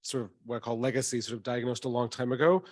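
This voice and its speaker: Measured man doctor answering. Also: mid-range voice, steady tone. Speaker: panel doctor. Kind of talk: answering audience questions.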